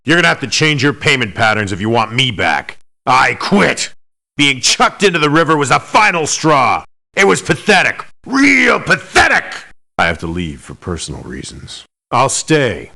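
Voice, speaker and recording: A man's voice: mid-range voice, big pitch swings, normal speed, gravelly, bright, forceful tone, exaggerated voice. An actor playing a cocky, demanding male elite mercenary in a video game, speaking bluntly with clipped indignation. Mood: indignant, then firm. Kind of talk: video game character voice lines.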